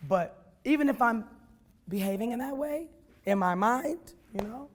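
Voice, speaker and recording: A woman's voice: on the high side, lively intonation, unhurried. A woman from Oakland, a jazz artist talking in a casual interview, blunt and playful, full of slang. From Oakland, California.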